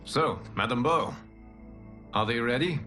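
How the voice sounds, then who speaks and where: A man playing a calm, solemn fire god and wise protector in a video game, formal, measured and authoritative. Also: medium pitch, soft background music. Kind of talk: solemn cinematic exposition.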